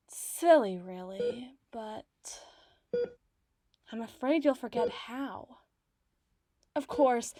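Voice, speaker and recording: A woman playing an obsessive, doting doctor in an ASMR roleplay, speaking softly and articulately with theatrical tenderness. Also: a little high, slight background noise. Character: obsessive, doting yandere woman doctor, soothing but possessive. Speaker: audio roleplay voice performer.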